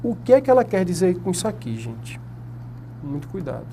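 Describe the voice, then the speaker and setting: A man's voice: high-pitched, big pitch swings, a little fast, gravelly, background noise. A man with a Brazilian Portuguese accent giving an exam-prep lecture at a whiteboard. He asks the class questions and answers them himself. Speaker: teacher.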